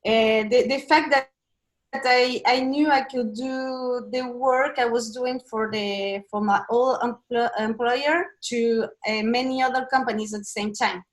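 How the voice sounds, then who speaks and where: A woman from Chile and Brazil, a business consultant and interview guest, speaking as an in a halting way as she searches for words over a video call. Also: fairly high pitch, fairly even intonation, poor audio.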